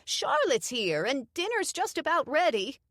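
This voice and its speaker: A cheerful elderly hostess, put on by a woman reading a story, fussing in a high, bright voice. She draws out her doting words slowly, with lively swings in pitch. The sound is close and dry. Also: dry recording. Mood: bustling, chatty. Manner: high, loud and bright, slow doting phrases.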